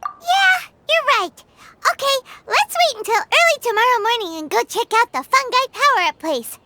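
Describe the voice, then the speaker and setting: A woman voicing a tiny, chirpy, excitable childlike fairy companion in a video game, with a squeaky voice. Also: normal speed, heavily acted. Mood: earnest. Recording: loud music behind. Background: game music.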